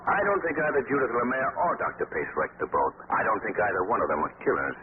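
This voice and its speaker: An actor playing a shrewd, unruffled, urbane gentleman sleuth in 1940s radio drama, with a wry manner. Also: lowish voice, huge pitch swings, quick pace. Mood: assured. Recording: noisy, tinny 1940s radio recording.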